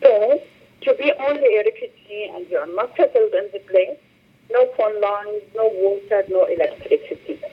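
A middle-aged woman from Lebanon, speaking English, gives a halting interview over the phone and often repeats words as she searches for phrasing. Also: medium pitch, slightly rough. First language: Arabic. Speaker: director of a humanitarian organization. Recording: muffled phone line.

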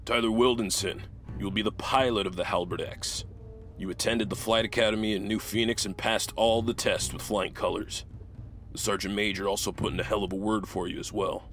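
Male actor, military commander, lowish pitch, deliberate, businesslike, sci-fi audio drama, faint music. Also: steady tone, a bit bright, natural voice. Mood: formal, stern. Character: stern male military commander addressing his crew. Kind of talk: sci-fi audio drama acting, formal briefing.

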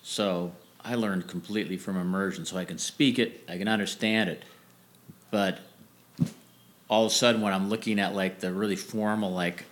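A man with a lowish voice chats in a relaxed, meandering way, often restarting his sentences. He laughs easily as he talks, recorded at home. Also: moderate pace. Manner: rambling, self-correcting, laughs easily. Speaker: musician guest. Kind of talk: casual interview chat. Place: family home.

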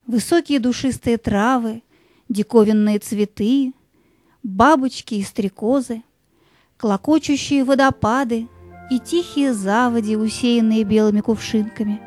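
A woman's voice: fairly high pitch, a little mellow, no room sound. A woman narrator telling a scripted fairy tale as part of an audio play.

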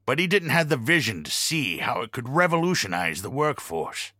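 Bright, smug rabbit tycoon played by a man. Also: medium pitch, sing-song, moderate pace, no room sound, clean studio sound. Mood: smug, scornful. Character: callous male rabbit tycoon, smug captive factory boss. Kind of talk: radio play acting.

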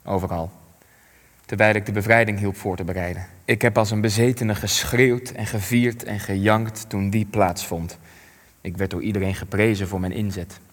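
An actor playing an elderly war survivor in a radio play, grieving and ashamed, speaking in measured, weighty phrases. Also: male voice, on the low side, fairly even intonation, close to an everyday voice. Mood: bitter reflection. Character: elderly male war survivor, grief-stricken, ashamed, plain-spoken. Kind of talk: radio play acting, testimony. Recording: long hall-like reverb.

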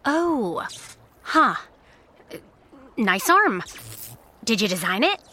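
A cheeky, excitable teenage girl villain's sidekick in an audiobook drama. Her voice is high and bright, swinging freely in pitch, with casual enthusiasm. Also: takes it slowly, heavily acted. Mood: breezy. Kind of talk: full-cast audiobook drama.

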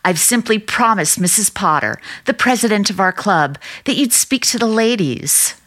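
A woman's voice: medium pitch, expressive, moderate pace, fairly bright tone. An actress playing a fond, chatty middle-aged housewife and mother in a radio play, fluttery and eager. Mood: fond fluster. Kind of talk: dramatic radio play acting.

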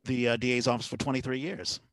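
Male host, American accent, middle-aged, quick delivery, very dry sound, conversational. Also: medium pitch, fairly even intonation, a bit bright, no room sound. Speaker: podcast host. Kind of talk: casual interview chat.

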